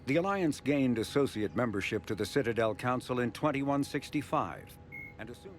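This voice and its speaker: Lore narrator: male, mid-pitched, measured and factual, soft music underneath. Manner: formal, measured, informative. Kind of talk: scripted encyclopedic narration. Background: faint music.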